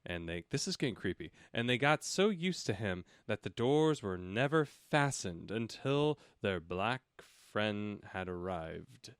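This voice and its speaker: A man's voice: fairly low pitch, very animated, unhurried, few pauses, clear, resonant tone, no room sound, clean studio sound. A man reading a storybook aloud as a comic co-host, breaking off for casual, irreverent remarks. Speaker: comic audiobook reader and co-host.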